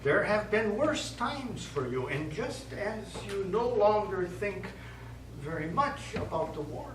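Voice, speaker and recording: Stage priest, male actor: gentle, pastoral, measured; animated intonation, heard from far off in an echoey room. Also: sits high, full character voice. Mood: consoling. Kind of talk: stage play acting. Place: theatre studio stage. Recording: echoey stage, distant mic, noisy.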